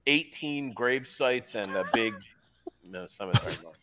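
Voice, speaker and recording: A man's voice: medium pitch, lively intonation, unhurried, noisy background. Wry man swapping stories. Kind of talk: casual chat, anecdotes.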